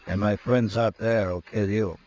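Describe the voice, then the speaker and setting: An actor playing a tough, calm frontier marshal in a 1950s radio drama, speaking in a firm, measured way. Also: male voice, on the low side, lo-fi sound, natural voice. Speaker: lead actor. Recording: old radio broadcast with faint hiss.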